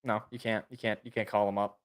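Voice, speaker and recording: A man co-hosting a casual sports podcast, loud and excitable, stumbling over repeated words, his voice worn out. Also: fairly low pitch, quick pace, clean tone. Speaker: podcast co-host.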